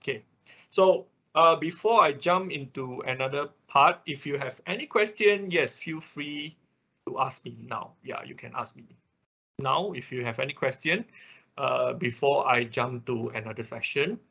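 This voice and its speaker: Man, non-native English speaker, online tech instructor, forceful husky voice, explanatory, repeats himself, dull lo-fi audio. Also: mid-range voice, unhurried. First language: not English. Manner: explanatory, often checking in with listeners, repeating himself. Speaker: instructor of an online robotics class. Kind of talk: informal online technical lesson.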